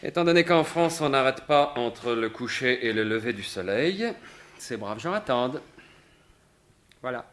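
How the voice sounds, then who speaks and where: An actor playing a suave, mocking gentleman thief in a 1950s-style French radio mystery, with a sardonic, assured, theatrical delivery. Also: medium pitch, lively intonation, a little slow, a little hiss or hum. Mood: calm, triumphant.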